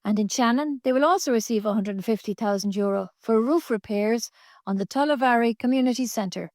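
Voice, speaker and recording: A woman's voice: a little high, a little mellow, no room sound, studio quality. A woman with an Irish accent reading a scripted local news bulletin as a community radio newsreader.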